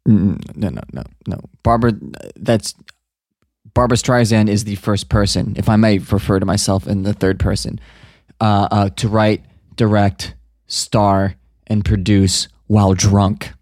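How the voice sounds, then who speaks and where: A man playing a crude, deadpan parody of a famous singer in an improvised comedy podcast bit, rambling through jokes. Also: on the low side, unhurried, no room sound, clean studio sound.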